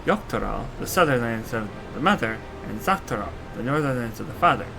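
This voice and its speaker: A man acts out an eager young princess in a fantasy video game. The delivery is proud and earnest, like a devoted guide to the homeland, at a medium male pitch. Game music plays clearly underneath. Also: animated, a little fast, low-quality recording. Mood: explanatory.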